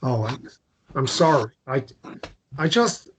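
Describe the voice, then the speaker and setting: A man's voice: mid-range voice, very animated, slow, a bit bright, slight background noise. A man playing an earnest, haunted man in a drama table read, understated and hesitant. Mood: gentle, hesitant. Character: earnest, haunted man keeping his promises.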